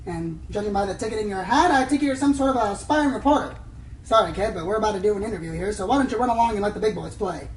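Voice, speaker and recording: A woman's voice: mid-range voice, very fast, a little mellow, slight background noise, natural voice. A woman playing a brash, self-important, condescending pony reporter in a radio play. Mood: cocky, condescending. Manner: cocky, condescending. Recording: roomy distant sound with faint music.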